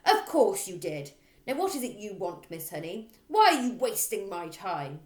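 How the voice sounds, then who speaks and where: A woman voicing a bullying middle-aged headmistress in a storybook, who barks and shouts with open contempt. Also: medium pitch, very animated, moderate pace, roomy sound, close to an everyday voice. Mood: outraged.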